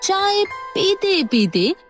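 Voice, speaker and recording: A woman's voice: very high-pitched, very animated, extremely slow, faint music behind. A woman narrating a scripted moral story.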